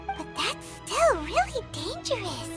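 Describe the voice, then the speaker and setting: Video game fairy companion: tiny excitable girl, extremely high pitched, swooping delivery. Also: a little slow, heavily acted. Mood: puzzled. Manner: speaks of self in third person. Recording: music behind the voice.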